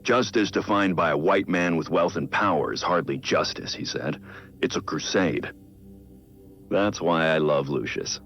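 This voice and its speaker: A man acting an earnest, brooding vigilante in a video game audio log, speaking in a measured, reflective, confiding way with a gravelly, very deep voice. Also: a bit bright. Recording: dull game audio with music behind.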